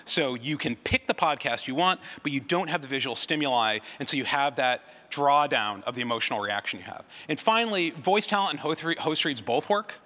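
A man's voice: mid-range voice, animated, fairly quick, few pauses, bright, forceful tone. A man speaking as a conference presenter, explaining things in an informal, conversational way. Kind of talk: unscripted presentation and audience Q&A. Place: conference hall with audience. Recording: large reverberant hall.

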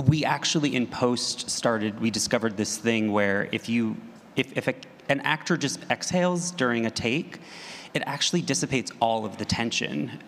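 A man speaking conversationally on a panel as an audio drama creator, explaining in an animated way. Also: fairly low pitch. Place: large room at a live conference panel. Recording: reverberant hall, faint room noise.

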